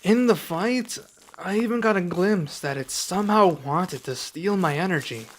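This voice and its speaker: A young man playing a serious, guarded dragon adventurer in an ASMR roleplay, speaking softly and slowly with pauses. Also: high voice. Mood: explaining. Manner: soft-spoken, measured, with pauses.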